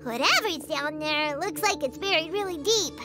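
A woman voicing a tiny excitable fairy companion in a video game, with a squeaky childlike voice and a whiny, exclaiming manner. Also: moderate pace, over loud music. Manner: whiny, exclaiming, speaks of herself in third person.

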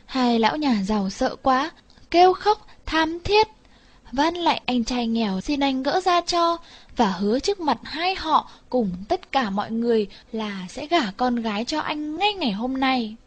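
High-voiced woman storyteller. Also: expressive, measured pace. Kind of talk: scripted fairy tale narration.

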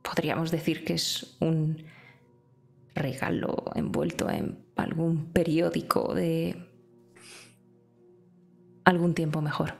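Woman, low gravelly voice, slow gentle roleplay, faint music behind. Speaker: player. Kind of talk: in-character roleplay and narration.

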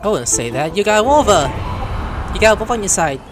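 A man talks casually over a story game he is playing, excitable and quick to swear. His pitch leaps wildly between mumbles and shouts. Loud game music plays underneath. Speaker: gameplay commentator. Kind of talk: casual let's play commentary.

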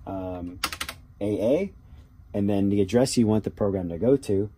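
A man with a low, mellow voice gives a casual hands-on demonstration. He halts and thinks aloud as he goes. There is clear background noise and key clicking in his workshop recording. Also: normal speed. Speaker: hobbyist demonstrating a robot. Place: small cluttered workshop indoors.

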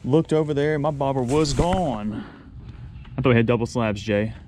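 A man in his thirties filming himself fishing from a small boat in a swamp, chatting excitedly, laughing and repeating himself as fish bite. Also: mid-range voice, normal speed, background noise. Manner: excitable, laughs often, repeats words when hooked up. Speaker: fishing vlogger. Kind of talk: excited outdoor fishing commentary. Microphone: action camera mounted on the boat.

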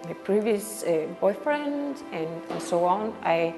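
A young woman with a Danish accent, a circus artist speaking in a reflective personal interview. She talks haltingly and searches for words in English, which is not her first language. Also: mid-range voice, lively intonation, gravelly. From Denmark. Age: young adult. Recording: reverberant with background music.

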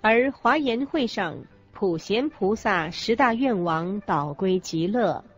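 A woman acting as a measured, expressive narrator of Buddhist teaching in a scripted radio programme. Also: mid-range voice, breathy edge. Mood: measured, devout. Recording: dull lo-fi recording with faint music.

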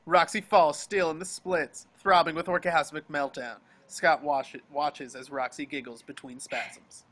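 A man's voice: a little high, steady tone, measured pace, fairly bright tone. A man reading stage directions as scripted narration for a dramatic table read over a video call.

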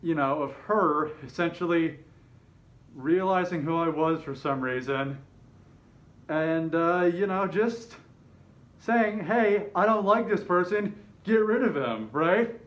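A man's voice: fairly high pitch, expressive, takes it slowly. A man telling a personal story in a casual, rambling way, hesitant and often correcting himself. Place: open living room with kitchen at home. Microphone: camera mic a short way off, speaker inside a fursuit head. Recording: dull, slightly muffled home camera audio.

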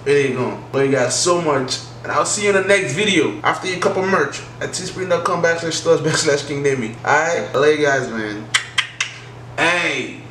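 A young man hosting a reaction video from his living room, talking casually and excitedly. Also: fairly high pitch, moderate pace, echoey room, slight background noise. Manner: excited, hyped outro. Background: music from the reacted video.

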